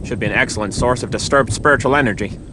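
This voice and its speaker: A man's voice: medium pitch, quick pace, close to an everyday voice. Guy doing an earnest nerdy scientist ghost hunter, precise and technical, mid voice, really noisy game audio. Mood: businesslike. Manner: precise, matter-of-fact, technical. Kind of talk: video game cutscene acting.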